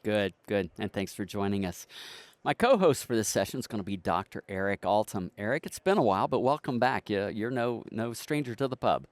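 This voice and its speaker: A man hosting a podcast, welcoming guests in a relaxed way with frequent pauses and fillers. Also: on the low side, no room sound. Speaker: podcast host. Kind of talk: casual hosting.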